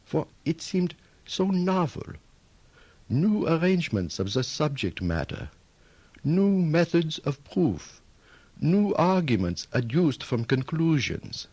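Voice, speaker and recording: Reverent medieval biographer, slow deliberate delivery, swooping intonation, husky, declamatory audio-drama reading. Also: male voice, a little high, very animated, darkish tone, dry recording, heavily acted. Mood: reverent, admiring. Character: medieval male biographer, reverent admiring chronicler. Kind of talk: dramatized reading of a historical account.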